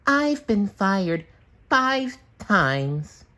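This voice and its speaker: A man voicing an earnest, excitable young sea sponge fry cook, plaintive and drawn-out, in a cartoon read-aloud. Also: sits high, voice pushed up, heavily acted. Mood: dejected.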